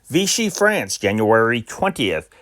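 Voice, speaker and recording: A man's voice: medium pitch, sing-song, a little slow, fairly bright tone. A man reading old newspaper news dispatches aloud in an amateur style, with occasional slips and restarts. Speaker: reader of historic news dispatches.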